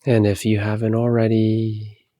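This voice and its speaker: A man leading a guided meditation, giving calm, soothing, unhurried instructions with long pauses. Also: fairly low pitch, dry recording.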